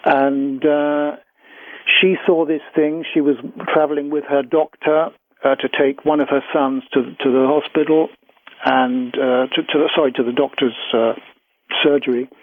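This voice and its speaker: A British man giving a radio interview as a guest researcher, recounting accounts in a halting, careful way. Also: on the high side, level tone, no room sound. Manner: hesitant, halting, with repeats and careful hedging. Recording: thin, tinny phone line on radio.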